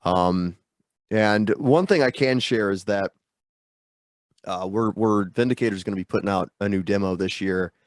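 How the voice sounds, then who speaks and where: Low-voiced man, stuffy and rambling. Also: moderate pace, dry recording, clean studio sound. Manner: rambling, self-deprecating, lots of fillers. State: stuffy from a sinus infection. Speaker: guest musician. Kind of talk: casual interview chat. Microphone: video call.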